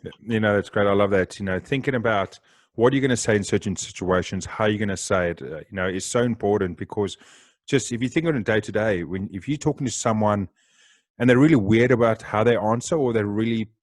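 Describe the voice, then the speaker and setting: A South African man hosting a podcast, talking loudly, fast and with excitement in casual interview chat. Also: lowish voice, no room sound, clean studio sound. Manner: loud, excitable, talks fast. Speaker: podcast host.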